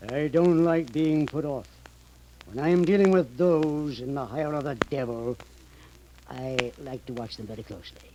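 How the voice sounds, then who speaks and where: An actor playing a sly, sinister professor with a deliberate, insinuating manner in a 1930s radio horror drama. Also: male voice, medium pitch, warm tone, dull audio, close to an everyday voice. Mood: sly, taunting. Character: sly sinister male professor, a fake occultist. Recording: faintly noisy old radio recording.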